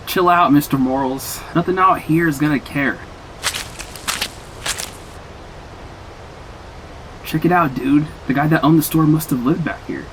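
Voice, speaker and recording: A man's voice: fairly high pitch, moderate pace, a bit bright, slight background noise, natural voice, poor audio. An actor playing a cocky, reckless young man in an audio drama, brash, teasing and slangy. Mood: mocking, amused.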